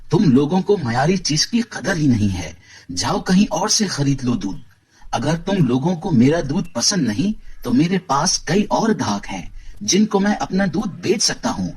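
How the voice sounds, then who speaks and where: A greedy village milkman, voiced by a man, snaps back in a rough, raspy voice. He sits a little high and swoops wildly through his pitch. His retorts are scornful and defiant. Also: a little mellow, heavily acted.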